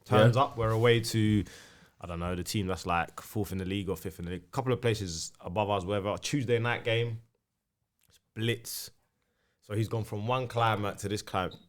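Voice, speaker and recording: Lively young co-host telling a story. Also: male voice, on the low side. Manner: energetic, builds a story step by step. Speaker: podcast co-host. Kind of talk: animated storytelling.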